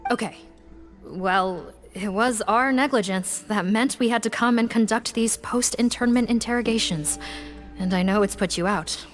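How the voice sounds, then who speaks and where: A woman's voice: a little high, moderate pace, close to an everyday voice. An actress playing a weary, dutiful woman investigator in a video game, formal and cautious in manner. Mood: firm, formal. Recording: game music behind the voice.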